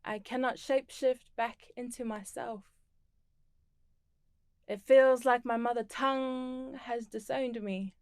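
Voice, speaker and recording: Slow, clear-voiced woman reciting poetry. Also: a little high, fairly even intonation, a little mellow, dry recording, clean studio sound. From Torres Strait Islands and Tonga. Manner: slow, measured and deliberate. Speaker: performing poet. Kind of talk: spoken word poetry performance.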